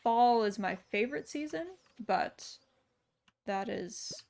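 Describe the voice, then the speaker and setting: Woman, gaming streamer, casual and rambling, light gravel in her voice. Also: fairly high pitch, expressive, slow pace, darkish tone. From United States. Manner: chatty, answers viewer chat aloud with many fillers. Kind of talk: casual chat while gaming.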